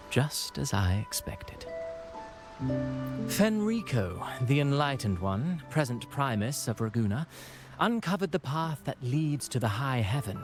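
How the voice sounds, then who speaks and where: An actor playing a courtly, ingratiating playwright in a video game, with a polite, florid storytelling manner. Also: male voice, medium pitch. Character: courtly, theatrical male playwright, suave and ingratiating. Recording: music behind the voice.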